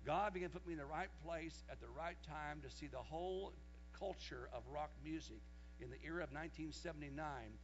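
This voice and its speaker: A man in his sixties preaching a sermon, reading scripture aloud and rising loud when he quotes it. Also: on the high side, fairly quick. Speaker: pastor. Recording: dull lo-fi sermon recording with mains hum.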